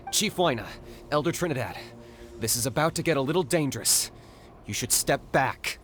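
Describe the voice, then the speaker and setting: An actor playing a resolute, unflappable young warrior in a video game, speaking in a blunt, matter-of-fact way. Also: male voice, medium pitch, lively intonation, normal speed, fairly bright tone, slight background noise, lightly acted.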